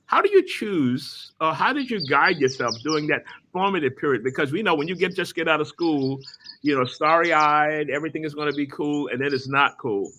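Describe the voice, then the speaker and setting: Male host, slightly high voice, encouraging, lots of yeahs and mm-hmms. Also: a little fast, sharp tone, slight background noise. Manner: encouraging, frequent short backchannels. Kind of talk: interview conversation.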